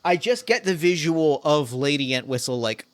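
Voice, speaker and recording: A man as game master of a solo tabletop mystery, talking in a rambling, animated way full of fillers and restarts. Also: fairly high pitch, moderate pace, no echo.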